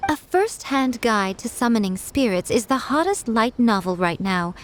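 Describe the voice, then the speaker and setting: A woman's voice: a little high, lively intonation, moderate pace, natural voice. A woman voicing a sly, elegant fox-spirit shrine priestess in a video game, poised, haughty and playfully sarcastic. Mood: teasing, condescending.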